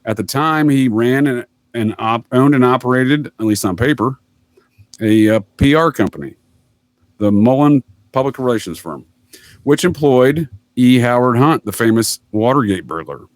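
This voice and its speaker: A man's voice: mid-range voice, lively intonation, moderate pace, no room sound. Wry male podcast guest, dry sound. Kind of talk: casual anecdotal explaining.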